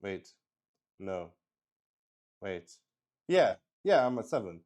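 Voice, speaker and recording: A man's voice: fairly low pitch, very animated, slow pace, darkish tone. A man narrating a solo tabletop roleplaying game casually, thinking aloud, mocking himself and swearing freely. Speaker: solo player and game master.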